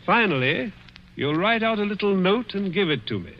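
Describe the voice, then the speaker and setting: A man's voice: sits high, very animated, normal speed. An actor playing a cruel, dying rich man who taunts with sly chuckles in a 1940s radio drama. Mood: menacing. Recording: dull old radio broadcast.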